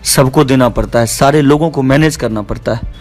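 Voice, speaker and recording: A man's voice: mid-range voice, a little fast, a little mellow. A man with a Bihari accent in an argumentative phone conversation, insistent and repeating himself. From Bihar, India. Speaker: stage show artist and organiser. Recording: recorded phone call with faint music.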